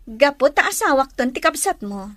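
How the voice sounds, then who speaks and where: Actress as a very high-pitched, clingy young Ilocano woman. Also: very animated, normal speed, sharp tone, no echo, full character voice. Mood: friendly. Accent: Filipino, Ilocano. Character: well-off young city woman, eager and clingy in love. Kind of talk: Ilocano radio drama acting.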